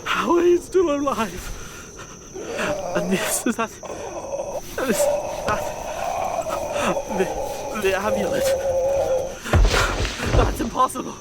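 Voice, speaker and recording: A man's voice: high voice, sing-song, takes it slowly, pauses often, heavy background noise, exaggerated voice. A man playing a guilt-ridden grave robber who narrates his doom in a brooding, theatrical way, in a gothic horror audio drama. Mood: horrified, panicked.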